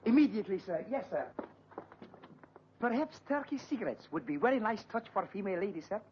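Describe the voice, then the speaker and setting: A man's voice: high-pitched, expressive, brisk, a little hiss or hum. An actor playing a fussy, sly male hotel waiter who is deferential and keeps adding "sir". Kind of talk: 1940s film comedy acting. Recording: dull 1940s film soundtrack.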